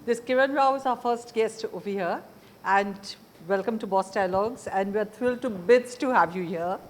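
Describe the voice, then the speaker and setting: A woman's voice: medium pitch, normal speed, background noise. A woman hosting a conversational interview. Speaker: interview show host.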